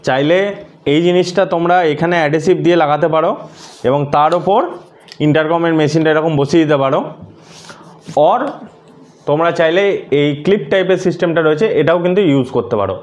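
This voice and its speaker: A man's voice: on the high side, brisk, pauses often, darkish tone. A man narrating a casual step-by-step tutorial, explaining things and mixing in English words. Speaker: host of a motorcycle gear tutorial.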